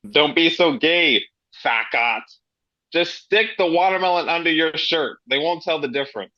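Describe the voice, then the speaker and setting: Male reader as a brash, crude loudmouth with lively pitch, slightly lo-fi mic. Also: normal speed, no room sound, lightly acted. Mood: mocking. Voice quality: crude, swaggering banter. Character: brash foul-mouthed grown man, loyal friend. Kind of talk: amateur table read. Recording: slightly dull lo-fi.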